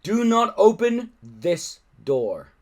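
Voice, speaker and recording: A man's voice: high voice, very animated, slow, voice pushed up, heavily acted. A man voicing a threatening pirate's warning from a storybook, declaimed emphatically and grandly. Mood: grave warning.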